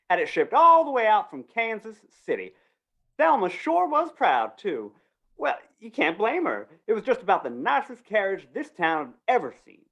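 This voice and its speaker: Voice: medium pitch, fairly bright tone, muffled recording, exaggerated voice. Someone acting a swooping, folksy western town gossip. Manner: drawling, folksy rural talk. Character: gossipy folksy small-town justice and undertaker. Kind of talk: old-time western radio drama acting.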